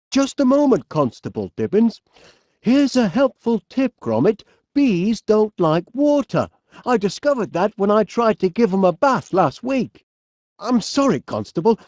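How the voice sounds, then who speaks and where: An actor playing a fussy, absent-minded middle-aged inventor with a Northern English accent, politely musing aloud in an animated comedy game. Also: male voice, sits high, dry recording, clean studio sound, heavily acted. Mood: helpful, flustered.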